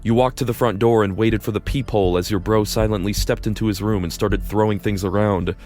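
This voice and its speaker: A man's voice: on the low side, fairly even intonation, fairly quick, darkish tone, soft background music. A man narrating a fan audio drama in the second person, casual and wry.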